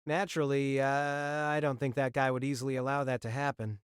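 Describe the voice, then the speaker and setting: An actor playing a confident, earnest lance-wielding knight in an audio drama, with a formal, assured manner. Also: male voice, medium pitch, flat delivery, fairly quick, smooth, no echo, studio quality, lightly acted. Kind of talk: dramatised audio fiction acting.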